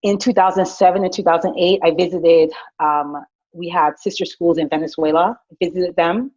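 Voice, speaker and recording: A middle-aged woman from Guyana, an educator, telling her leadership story in a lively, chatty way with fillers and restarts. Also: fairly low pitch, normal speed. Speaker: director of innovation at a school. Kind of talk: personal leadership story, casual. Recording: dull lo-fi recording.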